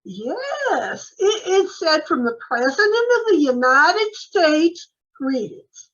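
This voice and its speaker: A woman's voice: sits high, big pitch swings, slow pace, fairly bright tone, highs cut off, exaggerated voice. A woman playing a cheerful, love-struck, naive housemaid in an old-time radio comedy, earnestly muddling her words with a drawl. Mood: earnest, smitten.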